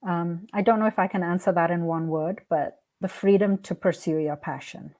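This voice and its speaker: A woman's voice: lowish voice, fairly even intonation, moderate pace, darkish tone. A woman whose native language is Hindi, giving reflective, earnest answers as a guest in a conversational interview. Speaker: podcast guest, art curator and founder.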